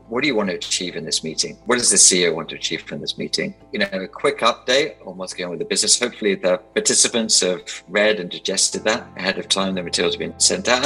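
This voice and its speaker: A man's voice: low voice, vocal fry, pushed and bright, rough recording. A man in his forties, an investor giving informal advice to camera on a video call from a small room. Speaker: startup investor and board member. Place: small attic room indoors. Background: background music.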